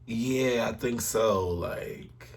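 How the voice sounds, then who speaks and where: A man's voice: mid-range voice, drawn-out words. A man chatting casually and chiming in on a story, with a blunt, slangy way of talking. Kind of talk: casual chat, interjecting.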